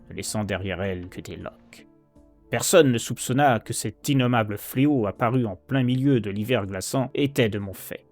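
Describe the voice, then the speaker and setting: A man playing an anguished, guilt-ridden heir who narrates a horror poem in a solemn, theatrical, measured way. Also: on the low side, darkish tone, natural voice. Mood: guilty anguish. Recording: narration over prominent background music.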